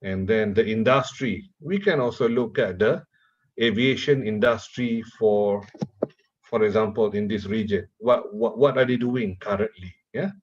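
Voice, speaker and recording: A man from Malaysia whose first language is Malay, giving a slow, deliberate university lecture and putting questions to his audience. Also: on the low side, breathy edge, muffled recording. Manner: slow, deliberate, repeats words while asking the audience questions.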